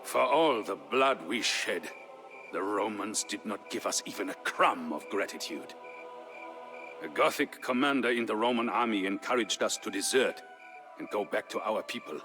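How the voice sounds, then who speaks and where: A man narrating as a grave Visigoth storyteller, recounting war history in a solemn, measured, theatrical way for a historical game. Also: on the low side, expressive. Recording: music behind the voice. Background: music.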